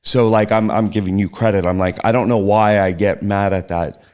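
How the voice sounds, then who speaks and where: A man's voice: on the low side, steady tone, a little fast, clean tone. A middle-aged man in a casual podcast chat, speaking hesitantly with frequent restarts. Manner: hesitant, restarts and trails off, soft-spoken reflection. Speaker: podcast guest, host's partner.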